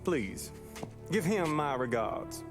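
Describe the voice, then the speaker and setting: An actor playing a courteous, chummy bootlegger who deals with gangsters in a video game. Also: male voice, fairly high pitch, sing-song, slow pace. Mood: friendly, smooth-talking. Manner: polite, chummy. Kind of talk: dramatic video game acting. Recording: music behind the voice.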